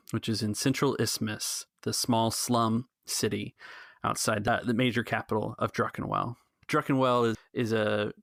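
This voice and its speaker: Male game master for tabletop storytelling, creaky delivery in a dry, close recording. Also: on the low side, fairly bright tone, no room sound.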